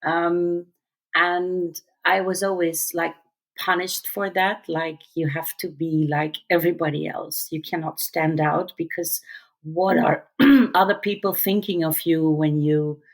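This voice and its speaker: Low-voiced Dutch-speaking woman in her fifties. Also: steady tone. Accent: mixed English and American, non-native. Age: 50s. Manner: reflective, halting with fillers and restarts. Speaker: interview guest, musical theater actor. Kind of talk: casual conversational interview.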